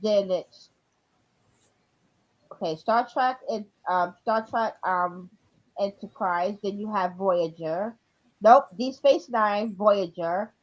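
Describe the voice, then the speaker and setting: A woman in her forties chatting casually on a live stream, rambling and filling pauses with ums. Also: medium pitch, dull audio. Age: 40s. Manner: rambling, many ums while listing. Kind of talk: casual chat.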